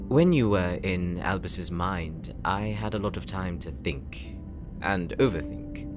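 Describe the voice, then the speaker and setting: An actor playing an earnest, insecure young knight in an audio roleplay, speaking gently and hesitantly, as if confiding in his sister. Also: low voice, animated, takes it slowly, over music. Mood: bittersweet, sincere. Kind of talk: audio roleplay drama, intimate monologue.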